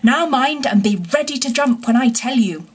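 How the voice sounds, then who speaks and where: Woman doing a train driver bloke from a storybook, stern but kind, husky, earnest, plain talking. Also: high-pitched, normal speed. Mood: resolute.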